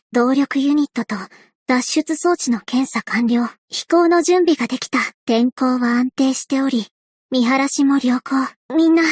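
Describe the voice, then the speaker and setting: A gentle young woman aviator speaks in a high, light voice, soft and reassuring. Her delivery stays calm and kind, the tone of a game character. The recording is close and completely dry, with no room around it. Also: dry recording. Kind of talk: anime-style game voice acting. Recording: dry studio booth.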